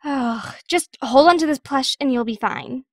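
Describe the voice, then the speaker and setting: A woman's voice: sits high, measured pace, no echo, studio quality. A woman playing a sarcastic, impatient young girl in an audio drama, sassy and exasperated.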